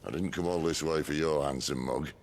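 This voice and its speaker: A man playing a wry, middle-aged outlaw leader in a video game, with a gravelly, sardonic, laconic delivery. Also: a bass voice, a little fast. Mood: wry, assured. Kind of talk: dramatic video game acting.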